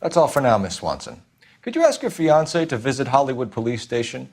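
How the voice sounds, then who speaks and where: An actor playing a polite, businesslike 1940s American police detective in a video game, with a clipped, formal manner. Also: male voice, medium pitch, huge pitch swings, a little fast, a little mellow, soft background music. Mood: probing, polite.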